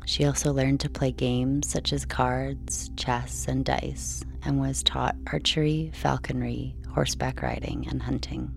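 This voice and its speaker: A woman reading a scripted bedtime story in a slow, measured, soothing delivery. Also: extremely low pitch, little pitch movement, gravelly. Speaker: sleep story narrator. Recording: soft music behind.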